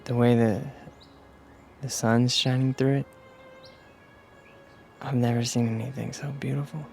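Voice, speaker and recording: An actor playing a gentle, smitten young man in a radio drama, speaking tenderly and hesitantly. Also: medium pitch, little pitch movement, a little slow, gravelly, faint music behind. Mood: shy admiration.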